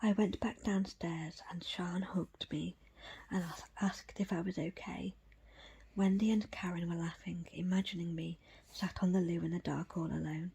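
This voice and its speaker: A woman reading a book aloud as ASMR in a breathy, unvoiced whisper, with hushed, deliberate phrasing in short pieces. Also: fairly low pitch, steady tone. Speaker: audiobook reader.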